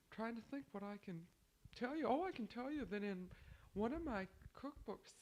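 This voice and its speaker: A woman's voice: on the low side, a little mellow, poor audio. A woman with a stuffy, congested nose recording a chatty, rambling spoken letter to family at home on a cassette recorder. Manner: chatty, rambling, musing aloud. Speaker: family member sending a taped letter.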